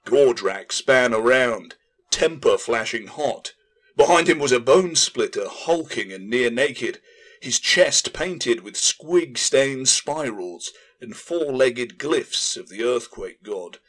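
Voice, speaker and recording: A man narrates a fantasy audiobook in a medium-pitched voice. His delivery is scripted and dramatic, telling the tale as a storyteller. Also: animated, slow, a bit bright.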